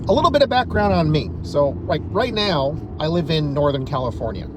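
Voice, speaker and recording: An American man from New York state giving a casual opinion monologue as an online commentator, conversational and often pausing mid-thought. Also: on the high side, expressive, background noise. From New York state, United States.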